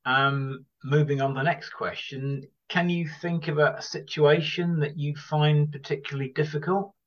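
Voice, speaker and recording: A man hosting a casual interview podcast, asking questions in a halting way with repeated words and phrases. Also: a little high, steady tone, lo-fi sound.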